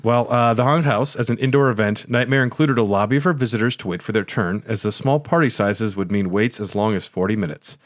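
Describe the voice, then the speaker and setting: A man's voice: lowish voice, brisk, hardly any pauses, no room sound, studio quality. A man co-hosting a podcast, moving between scripted rundown and casual banter. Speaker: podcast co-host.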